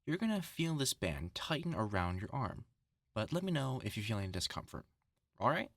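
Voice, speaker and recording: A man's voice: lowish voice, very animated, fairly quick, creaky, fairly bright tone, no room sound, clean studio sound, exaggerated voice. A man acting a vengeful, sarcastic doctor in a horror audio roleplay, taunting with mock care and swearing. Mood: mock-caring, mocking. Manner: taunting, mock-caring, swinging into angry swearing. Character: vengeful, sarcastic male doctor turned on his former research partner.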